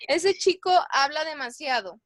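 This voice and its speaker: High-voiced woman teaching grammar. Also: lively intonation, unhurried. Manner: patient, quizzing students, thinking aloud. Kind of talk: online class drill.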